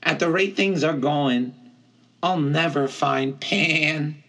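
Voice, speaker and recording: A man's voice: fairly high pitch, slow pace, fairly bright tone, voice pushed up, full character voice. A man voicing an earnest, indignant young male satyr from a storybook, plaintive and emphatic as he lectures.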